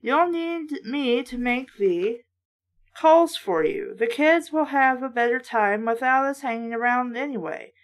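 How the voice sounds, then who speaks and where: A woman's voice: high voice, a little slow. A woman voicing a placating mother in a children's horror storybook, reassuring and trying to sound cheerful. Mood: forced cheer.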